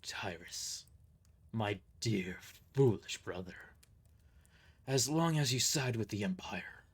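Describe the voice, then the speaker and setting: A man voicing a solemn, defiant holy crusader in a fantasy audio drama, grave and deliberate. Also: fairly low pitch, lively intonation, slightly rough, exaggerated voice. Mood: solemn defiance.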